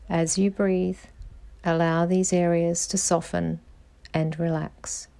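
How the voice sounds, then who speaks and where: A woman guiding a meditation in a calm, soothing voice, giving measured instructions with pauses. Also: lowish voice. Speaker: meditation guide, podcast host. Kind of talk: slow guided meditation narration.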